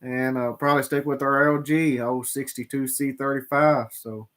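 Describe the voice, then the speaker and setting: Farm guy reading viewer questions, slow drawl, lotta uh's, normal pitch. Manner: drawling, relaxed, halting with fillers. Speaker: farmer co-hosting a livestream.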